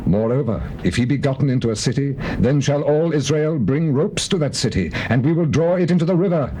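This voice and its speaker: An actor playing a shrewd royal counselor in dramatized scripture, oratorical and emphatic, on a hissy old cassette. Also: male voice, on the high side, lively intonation, brisk, warm tone, lightly acted. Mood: grave, persuasive.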